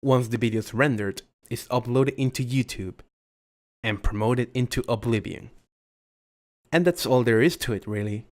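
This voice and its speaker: A man reading his own scripted voiceover in a measured, formal way, close to a USB condenser mic at home. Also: mid-range voice. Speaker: video creator.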